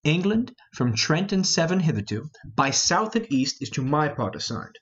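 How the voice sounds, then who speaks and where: A man playing a reasonable, peacemaking noble conspirator in a Shakespearean play reading, measured and formal. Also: on the high side, very animated, fairly bright tone, husky, lo-fi sound, full character voice. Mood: businesslike.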